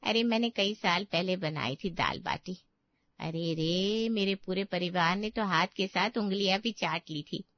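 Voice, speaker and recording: A woman's voice: lowish voice, measured pace, no room sound, muffled recording. A woman voicing a boastful, condescending female in-law in a cartoon moral story. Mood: smug.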